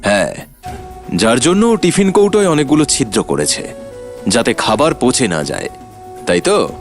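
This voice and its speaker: An actor playing a shrewd, confident detective in a cartoon mystery, explaining things in a sly, theatrical way. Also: male voice, mid-range voice, big pitch swings, normal speed, soft background music. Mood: sly, needling. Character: shrewd, confident male detective revealing the culprit. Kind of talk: animated detective story acting.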